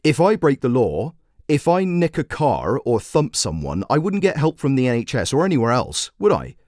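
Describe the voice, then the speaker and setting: Guy doing a grumbling forty-something bloke calling talk radio, blunt, defensive, swingy pitch, dry sound. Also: huge pitch swings, moderate pace, a little mellow, no room sound. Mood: indignant. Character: defensive, bigoted working man of forty-one, radio caller.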